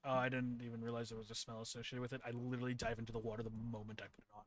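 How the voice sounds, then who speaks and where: A man's voice: medium pitch, level tone, very fast. A man running a tabletop game as game master, chatting casually and laughing often between his words. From Philippines heritage.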